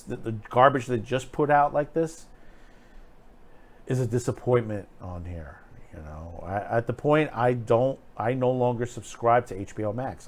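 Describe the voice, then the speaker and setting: Man giving rambling, emphatic commentary. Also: mid-range voice. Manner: rambling, halting, emphatic, repeating phrases. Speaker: host of an online film commentary show. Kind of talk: unscripted opinionated commentary.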